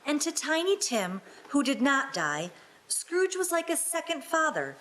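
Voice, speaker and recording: A woman's voice: sits high, very animated, a little slow, fairly bright tone. A woman reading scripted narration in a live radio-play storytelling style.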